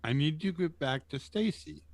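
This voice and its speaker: A man's voice: on the high side, animated, moderate pace, no echo. A man playing an impatient, curt adventurer in an amateur radio play, speaking with emphasis.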